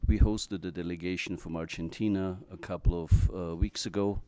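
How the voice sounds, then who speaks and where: An older man from Austria who gives an informal welcome speech in a halting, hesitant manner. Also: low-pitched, fairly even intonation, dark tone, clean tone, no room sound. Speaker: state trade director.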